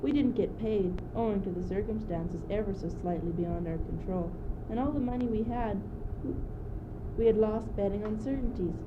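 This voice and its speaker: A woman's voice: medium pitch, moderate pace, close to an everyday voice. A teenage female student actor playing a wry, worldly leader of traveling players in an amateur radio play, with a theatrical delivery. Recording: dull old tape, loud background noise.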